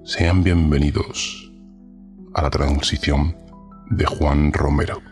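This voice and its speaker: A man giving a solemn, deliberate introduction to a horror audio story as podcast narrator. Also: a bass voice, flat delivery, a little mellow. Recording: music under the voice. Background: music.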